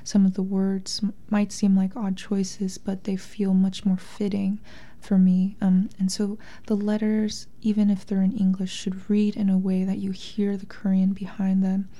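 A woman author speaking softly and breathily in a thoughtful, measured, literary way. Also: medium pitch. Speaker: interviewed author and translator. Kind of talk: reflective literary interview talk and reading aloud from a memoir.

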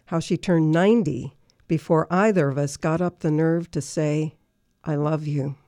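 An older woman, around her sixties, reading her own personal remembrance aloud in a measured, list-like recitation. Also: low voice, mellow, dry recording, studio quality. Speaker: listener contributor reading own writing.

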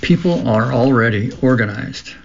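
A man hosting a radio show, reading a scripted text aloud in a measured way with occasional stumbles. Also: medium pitch, lively intonation, vocal fry, dull audio, a little hiss or hum.

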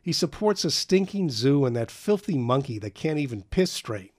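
A man's voice: mid-range voice, animated, brisk, lightly acted. A man reading an audiobook voices Eddie, a bitter young man who rants crudely and mockingly.

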